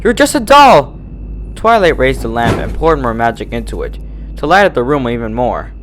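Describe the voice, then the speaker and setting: A man's voice: mid-range voice, expressive, brisk, a little hiss or hum. A man reading a horror fan fiction aloud as its narrator, in a scripted storytelling style.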